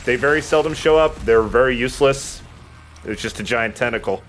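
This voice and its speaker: A man's voice: medium pitch, fairly quick, fairly bright tone, a little hiss or hum. A man giving casual, matter-of-fact Let's Play commentary, explaining the game in a laid-back, sweary way. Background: game music.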